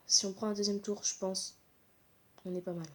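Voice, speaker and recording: A woman's voice: medium pitch, little pitch movement, normal speed. Female gaming host, stuffed up with a cold, exclamatory, commentating a match as it happens. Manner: exclamatory, repeats short interjections. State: congested with a cold. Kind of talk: live excited gameplay commentary.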